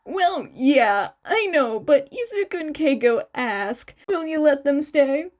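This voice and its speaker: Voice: sits high, expressive, slow. Vrouw speelt luide, opgewekte oom met hoge stem. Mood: upbeat, fond. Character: loud, cheerful, teasing man, an excitable fond uncle.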